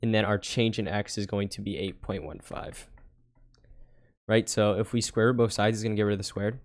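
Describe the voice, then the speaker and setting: A man's voice: on the low side, fairly even intonation, moderate pace. A young man casually talking through a physics problem step by step on a digital whiteboard, often checking in with "right?" and correcting himself. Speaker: tutor.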